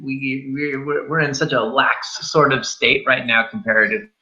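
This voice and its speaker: A man's voice: medium pitch, fairly even intonation, moderate pace, sharp tone, dull audio. A man hosting a casual movie review chat, speaking informally and jokily with the odd swear word. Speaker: film review show host.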